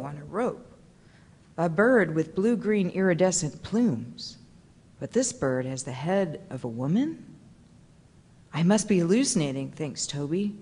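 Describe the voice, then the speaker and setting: A woman narrating a dramatic literary reading on stage with suspenseful, deliberate phrasing. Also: mid-range voice, darkish tone. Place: large theatre stage. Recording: reverberant theatre hall.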